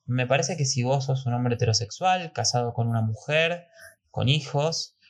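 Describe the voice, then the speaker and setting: A man's voice: mid-range voice, normal speed. A man with an Argentine accent, a podcast guest explaining his opinions conversationally and emphatically.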